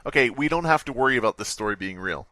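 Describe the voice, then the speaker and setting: A man's voice: mid-range voice, lively intonation, fast, pushed and bright, no room sound, studio quality. A man co-hosting a podcast in casual chat, reading stories aloud and commenting with wry skepticism. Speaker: podcast co-host.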